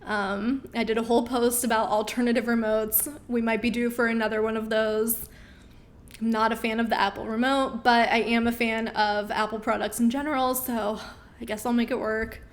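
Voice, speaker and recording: A woman in her thirties chats casually through viewer questions on a live stream, upbeat and full of ums. Her voice sits fairly high and is starting to wear out. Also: flat delivery, moderate pace. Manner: chatty, upbeat, with frequent ums. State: losing her voice.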